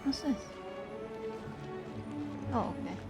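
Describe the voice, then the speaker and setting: A woman doing casual live gameplay commentary, muttering to herself with mild exclamations, with music behind her. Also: slow pace. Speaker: game streamer. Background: video game music and sounds.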